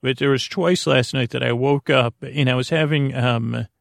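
Creaky-voiced man rambling on a sleep podcast. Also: mid-range voice, fairly even intonation, no room sound, clean studio sound. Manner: meandering, halting, tangential, full of drawn-out uhs. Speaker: podcast host.

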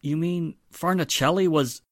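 An actor playing an exasperated, spluttering police inspector in a 1940s-style radio drama. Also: male voice, on the high side, a little slow, dry recording, studio quality, close to an everyday voice. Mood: incredulous. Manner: spluttering, emphatic, incredulous.

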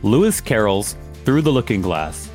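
A man's voice: fairly low pitch, big pitch swings, normal speed, darkish tone. Male podcast co-host with music behind. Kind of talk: reading an encyclopedia article aloud with casual commentary.

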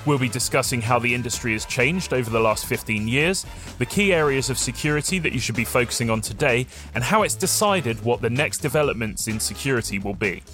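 Male host, mid-pitched, brisk and friendly presenter, light music bed. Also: lively intonation, a bit bright. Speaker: podcast host. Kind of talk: scripted intro and casual chat.

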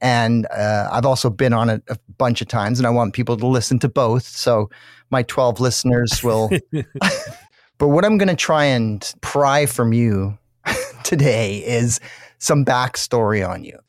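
Slow-talking man hosting an interview. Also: mid-range voice, no echo, clean studio sound. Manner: chatty, laughs often, teasing. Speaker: radio show host. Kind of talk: casual interview chat.

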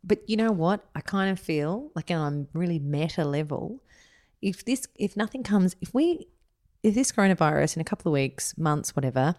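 A woman in her thirties co-hosting a podcast in casual, chatty talk, laughing often. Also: normal speed. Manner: chatty, laughs often, expressive swings in pitch. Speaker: podcast co-host. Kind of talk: casual chat.

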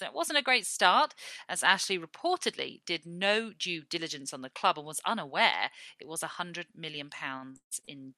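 A woman presents as a podcast host in a bright, forceful voice pitched a little low. The recording is close and dry, and she speaks as someone steering a programme. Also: no echo. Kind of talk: presenting, scripted links and discussion.

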